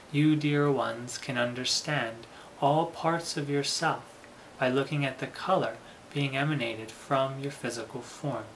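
A man teaching a guided meditation in a solemn, deliberate manner, with formal, measured phrasing. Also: mid-range voice, fairly bright tone, a little hiss or hum, poor audio.

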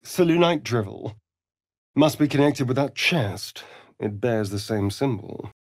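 An actor playing a sardonic, vain vampire rogue in a video game, with an arch, theatrical, drawling delivery. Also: male voice, medium pitch, dry recording, clean studio sound. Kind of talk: video game character lines.

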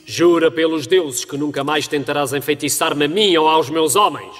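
A man's voice: a little high, moderate pace, fairly bright tone, in a large hall, close to an everyday voice. A man voicing a stern, heroic warrior captain in a European Portuguese cartoon dub, speaking with declamatory authority. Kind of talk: dubbed cartoon acting.

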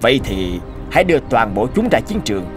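A man voicing a stern, thoughtful army colonel in a cartoon war drama, speaking deliberately. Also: medium pitch, sing-song, over music, close to an everyday voice. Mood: thoughtful resolve.

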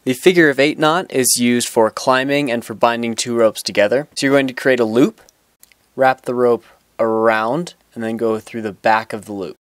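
A man's voice: mid-range voice, moderate pace, no echo, studio quality. Plain-spoken young man teaching knots. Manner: plain, instructional, with some restarts. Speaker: knot-tying instructor. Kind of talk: step-by-step tutorial. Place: outdoors on grass.

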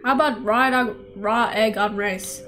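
A wry, broke college student from a visual novel, read out by a woman, over faint music. The voice is on the high side. The talk is blunt, dry and full of teasing slang. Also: fairly even intonation, normal speed, a bit bright, lightly acted. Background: game music.

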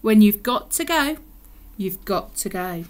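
A woman's voice: medium pitch, expressive, a little slow, a little hiss or hum, lightly acted. A woman voicing a bossy, sensible giraffe in a children's story, firm and admonishing. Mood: firm, urgent. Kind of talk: children's picture-book read-aloud.

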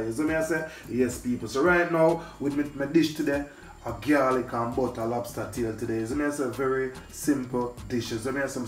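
A man hosting a casual cooking vlog, talking loosely and repeating his catchphrases as he works. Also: medium pitch. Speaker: cooking channel host. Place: shop and street outdoors, then home kitchen. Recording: echoey with loud music behind.

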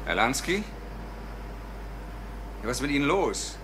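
A man's voice: mid-range voice, big pitch swings, unhurried, noisy background. An actor playing a polite, inquisitive male businessman in a crime radio drama. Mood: concerned, curious.

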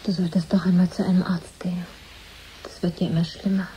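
A woman's voice: fairly low pitch, little pitch movement, brisk, dark tone, close to an everyday voice, rough recording. An actress playing a worried, caring woman questioning her troubled partner in a radio drama. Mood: concerned. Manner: concerned, questioning. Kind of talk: 1980s German radio drama acting. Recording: faint background noise.

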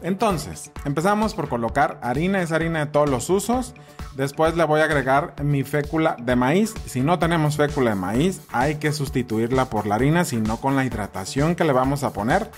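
Chatty Mexican man narrating a recipe. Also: on the high side. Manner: chatty, informal. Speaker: cooking video host.

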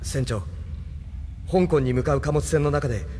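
A man's voice: on the high side, moderate pace, dark tone, natural voice. A man playing an earnest, self-doubting young hero in a Japanese audio drama, sounding troubled. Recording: long hall reverb, faint noise.

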